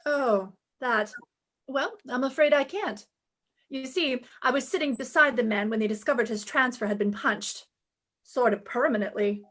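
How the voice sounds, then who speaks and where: A woman's voice: fairly high pitch, moderate pace, a bit bright. An actress playing a wry, breezy, flirtatious female private eye in a 1950s-style radio detective drama. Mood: wry, teasing.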